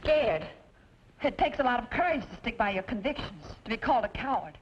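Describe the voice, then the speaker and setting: An actress playing an earnest, spirited daughter urging courage in an old-time radio western. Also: female voice, a little high, very animated, fairly quick. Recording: muffled vintage radio recording, faint noise.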